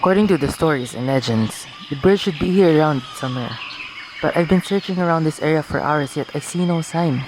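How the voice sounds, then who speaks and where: A woman playing an eager young prince in a radio play, hurried and earnest. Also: low voice, noisy background, lightly acted. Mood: weary, puzzled. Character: eager young prince searching for a magical bird.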